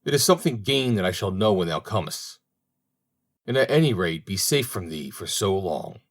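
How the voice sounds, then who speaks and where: A man's voice: deep voice, animated, normal speed. A man voicing a carefree, naive young mortal in a storybook, with earnest, old-fashioned speech. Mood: agreeable.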